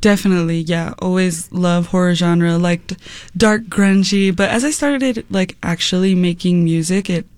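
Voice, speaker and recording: A woman's voice: lowish voice, moderate pace, dry recording. Brief: young woman, twenties, radio guest, laughs often, loose filler-heavy speech, dry close mic. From United States. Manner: giggly, relaxed, many fillers. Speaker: guest musician. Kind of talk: casual radio interview.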